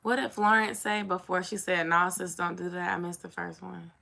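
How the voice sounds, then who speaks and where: A young woman with a somewhat raspy voice talks easily and chattily to her viewers. Her voice sits a little low but has a bright, forceful edge. The delivery is loose and conversational. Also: flat delivery, moderate pace. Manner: chatty, says "y'all" and "like" often. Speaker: vlogger. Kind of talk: casual chat to camera.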